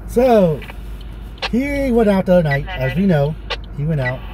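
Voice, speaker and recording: A man's voice: high voice, big pitch swings, a little slow, over a noisy crowd. A man chatting casually as he comments live on the street, with slangy, joking talk. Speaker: streamer's co-host. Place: car and roadside at night. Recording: loud vehicle and street noise. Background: loud car and street noise.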